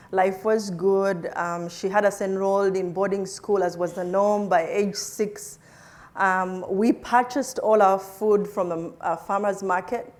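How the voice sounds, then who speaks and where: A woman from Uganda, a scientist, telling her life story in an interview, with frequent laughs and many restarts. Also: mid-range voice. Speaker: plant scientist guest.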